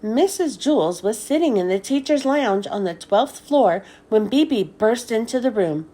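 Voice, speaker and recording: A woman reading a children's story aloud as scripted narration, with expressive, deliberate delivery. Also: a little high. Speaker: children's book read-aloud host.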